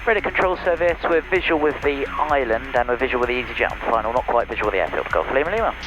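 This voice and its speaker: A man's voice: mid-range voice, animated, very fast, lo-fi sound, over music. A man making polite, clipped pilot radio calls through an aircraft headset. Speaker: private pilot and vlogger. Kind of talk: clipped radio calls to air traffic control. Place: light aircraft cockpit in flight. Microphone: aviation headset.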